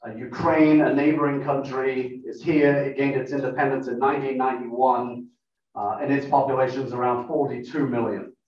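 A man with a funny non-American accent giving a measured lecture to a club meeting, pausing often with fillers. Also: mid-range voice, steady tone, a little mellow. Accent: non-American English. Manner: measured, explanatory, with frequent uh fillers. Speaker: guest speaker, professor. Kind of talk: lecture talk with slides. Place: meeting room. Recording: echoey room, distant, dull lo-fi.

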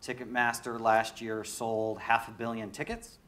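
A man in his forties, from New York, speaking as a panelist on a conference stage, brisk and matter-of-fact into a handheld microphone. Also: medium pitch, completely flat delivery, fairly bright tone. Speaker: panelist, concert promoter. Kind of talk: panel discussion.

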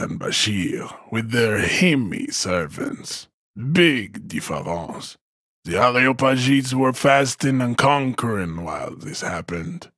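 A haughty, hulking supremacist from a video game. His voice is low and husky, recorded very dry. He declaims solemnly and pompously, as if above everyone. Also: a bit bright, no room sound, exaggerated voice. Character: haughty, hulking supremacist strongman, adult man. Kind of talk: scripted game character monologue.

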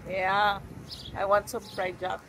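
A woman's voice: mid-range voice, monotone, takes it slowly, a bit bright, slight background noise, poor audio. A woman chatting casually in short remarks as a companion on an outdoor vlog. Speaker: vlogger's companion. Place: outdoors on a city sidewalk.

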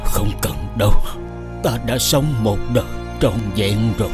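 A man playing a kind, resigned old king in a cartoon, speaking halting last words. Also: fairly low pitch, natural voice. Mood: weak, resigned. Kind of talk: animated fairy tale acting. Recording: music behind the voice.

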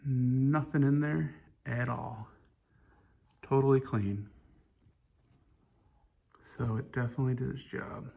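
Man, gravelly voice, mid pitch, relaxed rambling show-and-tell, garage workshop, phone held close. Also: slow pace, pauses often. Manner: relaxed, rambling, many "uh" fillers. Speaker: chainsaw hobbyist showing a filter. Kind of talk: casual hands-on show-and-tell. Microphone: phone camera held close.

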